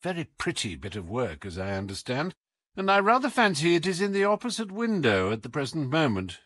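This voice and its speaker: A man's voice: fairly high pitch, wildly swooping pitch, brisk, fairly bright tone, no room sound, pitched above the performer's own voice, full character voice. A man reading an audiobook as a whimsical, imperious detective with a British accent, theatrical, commanding and wry. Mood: animated, wry. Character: brilliant, whimsical, imperious male English detective.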